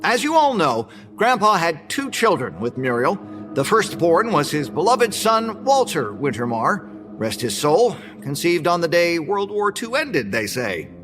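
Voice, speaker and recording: Slick male showman, high voice, sweeping intonation, theatrical pauses, keynote host in a radio drama. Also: very animated, over music. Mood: smooth, placating. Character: showy, slick male presenter, inventor's grandson. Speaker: event presenter.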